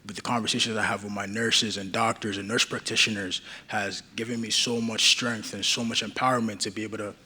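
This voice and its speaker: A man's voice: fairly low pitch, flat delivery, brisk, few pauses, sharp tone, slightly rough. A young man giving an earnest conference talk as a patient advocate, with many fillers and restarts. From Nigeria.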